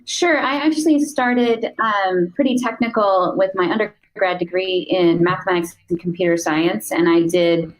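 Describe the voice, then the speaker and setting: A woman from the United States, a guest on a podcast, talking relaxed and thoughtful with many small fillers. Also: fairly low pitch, normal speed, few pauses, rough recording. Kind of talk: casual interview conversation.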